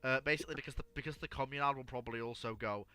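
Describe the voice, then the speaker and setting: A man's voice: medium pitch, rapid-fire, sharp tone, smooth. A man hosting a casual history discussion, often stammering and repeating words as he thinks aloud. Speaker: livestream host answering listener questions.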